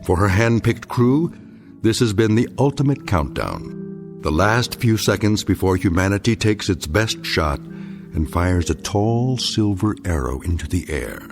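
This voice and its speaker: An actor narrating a radio drama in a measured, grave voice, as the story's narrator. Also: male voice, deep voice, expressive, creaky, heavily acted. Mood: solemn. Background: music.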